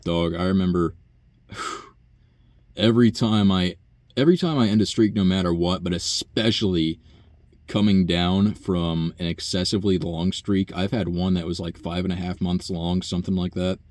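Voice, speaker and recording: Low-voiced young man rambling casually. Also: fairly even intonation, normal speed, darkish tone, no echo. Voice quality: low buzzy voice. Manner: casual and profane, many fillers and sighs. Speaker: vlogger. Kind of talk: rambling personal monologue.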